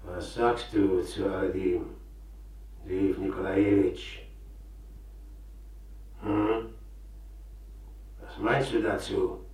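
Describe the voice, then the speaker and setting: An actor playing a brooding, bitter, obsessively jealous suitor in a German radio play, speaking haltingly with a rough edge. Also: male voice, sits low, fairly even intonation, darkish tone, exaggerated voice. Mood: brooding, haunted. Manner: halting and brooding, breaking into taunting outbursts.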